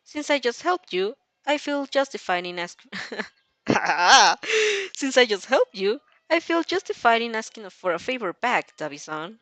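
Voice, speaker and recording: A woman's voice: a little high, very animated, measured pace, fairly bright tone, dry recording. A woman voicing a polite, guarded and stubborn young woman from anime fan fiction, in a formal, reserved manner.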